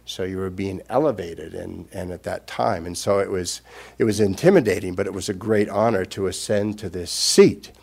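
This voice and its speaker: An older man, a Buddhist teacher, giving an unscripted Dharma talk in a gentle, reflective way with pauses. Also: low voice, creaky, slightly rough.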